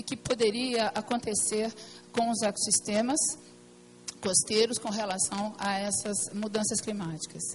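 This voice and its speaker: A woman with a hoarse, partly lost voice, giving a hesitant technical presentation at a council meeting, full of fillers and restarts. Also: medium pitch, low-quality recording. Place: meeting room at a plenary session.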